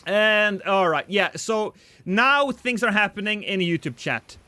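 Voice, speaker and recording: A man's voice: high-pitched, lively intonation, a little slow, sharp tone, no echo. A man, chatting casually and joking with his chat while streaming a game. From Norway.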